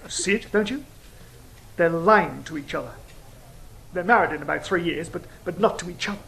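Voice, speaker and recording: An actor playing a sly, cynical fallen angel in an audio drama, speaking in a measured, philosophical, teasing way. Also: mid-range voice, vocal fry, fairly bright tone, poor audio. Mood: sardonic, philosophical. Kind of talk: theatrical audio drama dialogue and monologue.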